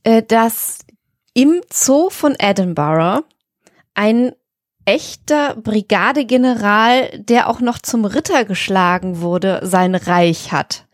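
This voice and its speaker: A woman's voice: medium pitch, takes it slowly, dry recording, studio quality. A woman co-hosting a podcast, telling a story casually in a playful, teasing way. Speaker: podcast co-host.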